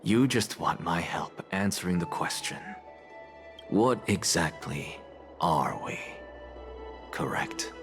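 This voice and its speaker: Game role: cool, calculating scholar. Low-pitched, unruffled, aloof and deliberate, over music. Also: male voice, expressive, heavily acted. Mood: smug, composed. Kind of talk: scripted video game dialogue.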